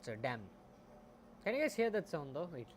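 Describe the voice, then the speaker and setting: A man streaming as a game developer, thinking aloud while he codes, often muttering and trailing off into soft asides, on a quiet home mic. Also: very animated, moderate pace. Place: home room. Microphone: desktop mic. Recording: faint background noise, low home mic.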